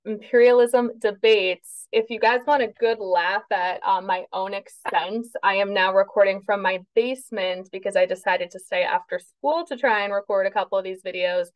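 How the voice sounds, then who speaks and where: A woman's voice: mid-range voice, no room sound, poor audio. A woman with a New Jersey accent giving a recorded history lecture from her basement, chatty and self-correcting, often checking in with "okay?". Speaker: history teacher. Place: home basement. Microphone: webcam.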